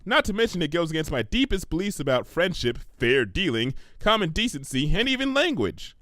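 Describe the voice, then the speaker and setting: An earnest, bookish female unicorn, performed by a man in a storybook reading. The voice is raised in pitch and lively, lecturing with wordy, emphatic authority in a dry recording. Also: brisk, no room sound. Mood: indignant, lecturing.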